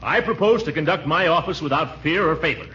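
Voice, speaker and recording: An actor playing a self-important, easily indignant middle-aged uncle and town official in 1940s radio comedy style, pompous and sputtering. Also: male voice, a little high, brisk, lightly acted. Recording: muffled, hissy 1940s radio broadcast.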